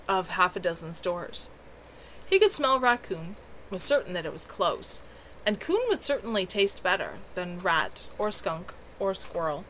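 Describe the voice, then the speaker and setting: A woman's voice: medium pitch, fairly bright tone, a little hiss or hum, poor audio. A woman reading her own novel aloud on a livestream from home, in an unpolished amateur delivery. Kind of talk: live novel reading with casual asides.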